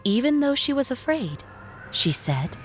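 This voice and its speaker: A woman narrating a scripted children's story in a gentle, expressive, measured way for very young listeners. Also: high-pitched, soft background music.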